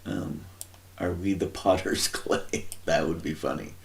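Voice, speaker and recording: A man giving an informal, rambling Bible teaching, thinking aloud and often pausing to search for words. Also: sits low, steady tone, vocal fry.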